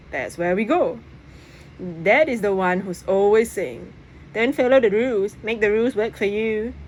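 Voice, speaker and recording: Female audiobook reader, mid-pitched, first-person children's fiction narration, slight noise on the recording. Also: low-quality recording. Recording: faint background noise.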